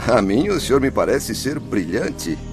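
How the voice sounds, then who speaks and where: A man's voice: medium pitch, sing-song, a little slow. An actor in a radio drama playing a troubled, pleading father in the afterlife, a man with a Brazilian accent and a deferential, emotional manner. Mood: deferential, questioning. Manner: deferential, emotional, imploring, hesitant. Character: anguished, pleading male suicide's spirit, humble devoted father. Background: music.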